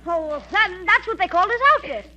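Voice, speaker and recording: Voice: very high voice, expressive, brisk, highs cut off. An actor playing a brash, eager, slangy schoolboy in a 1940s radio comedy. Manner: slangy, boastful, emphatic. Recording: noisy old radio broadcast with hum.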